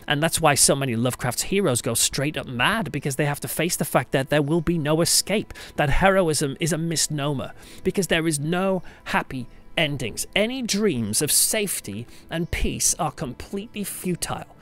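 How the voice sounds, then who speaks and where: A man's voice: on the high side, animated, moderate pace, fairly bright tone. A man hosting a solo podcast, talking conversationally in an emphatic, reflective storytelling manner. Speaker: podcast host and church pastor.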